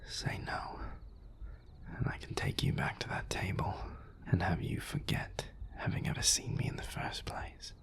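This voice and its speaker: A man playing a seductive, hungry vampire in an intimate audio roleplay, courteous and deliberate, with a breathy, gravelly voice. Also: a bit bright, in a whisper, natural voice. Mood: seductive, intense. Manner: slow, deliberate, courtly endearments.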